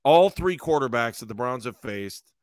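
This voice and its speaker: A man's voice: medium pitch, lively intonation, moderate pace, no echo. A middle-aged man co-hosting a casual sports podcast from home, teasing and sarcastic with his co-host. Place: small home room. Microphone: headset and desk microphone on video call.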